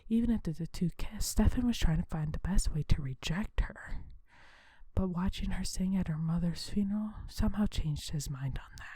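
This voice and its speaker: A woman hosting an ASMR video in a soft, breathy whisper, talking in a hushed, unhurried, conversational way. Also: lowish voice, no room sound.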